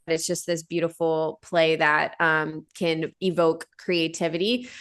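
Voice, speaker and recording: A woman's voice: lowish voice, fairly bright tone, clear, resonant tone. A woman in her thirties, a company founder answering interview questions in an earnest, conversational way, with many fillers and restarts. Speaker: podcast guest, company founder.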